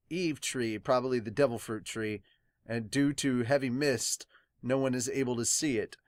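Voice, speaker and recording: A man chatting casually as a podcast host, reading comments aloud and reacting in an animated but halting way. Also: mid-range voice, no echo, clean studio sound.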